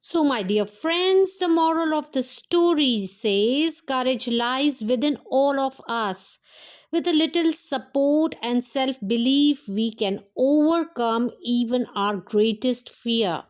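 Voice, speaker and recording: A woman storyteller narrating a scripted children's fairytale in a deliberate way, broken into short phrases. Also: high voice.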